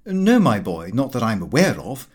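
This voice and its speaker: A man's voice: mid-range voice, wildly swooping pitch, moderate pace, breathy edge. A man voicing an earnest, polite, self-satisfied middle-aged clerk in a storybook reading, fussy and eager. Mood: pleased, genial. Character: earnest, deferential middle-aged male clerk, pleased with himself.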